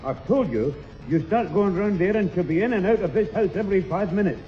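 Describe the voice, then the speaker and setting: A man's voice: high-pitched, animated, a little fast, big-room sound. A man playing a grumpy, exasperated grandfather with a Northern English accent in a 1960s radio sitcom. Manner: scolding, blustering. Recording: dull 1960s radio recording with music.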